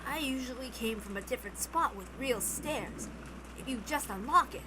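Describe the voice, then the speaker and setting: A woman voicing a weary old gentleman in a visual novel, polite, formal and a little faltering. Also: fairly high pitch, normal speed, slight background noise.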